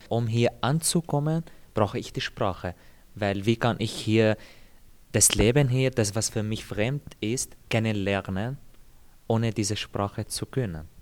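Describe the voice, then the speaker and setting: Low-voiced Syrian man, measured and thoughtful. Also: darkish tone. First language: Arabic. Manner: thoughtful, measured, pauses and repeats words. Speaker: interviewee. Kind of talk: calm personal account.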